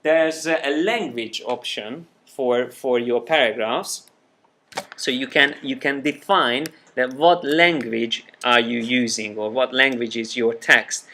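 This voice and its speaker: A man whose first language is Hungarian leads a live software class, his voice bright and forward. He talks off the cuff, with frequent fillers and restarted sentences, and keeps asking the room questions. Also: mid-range voice, slow. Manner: loose, unscripted explaining with many fillers and restarts. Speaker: software trainer. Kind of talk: live screencast class with an audience.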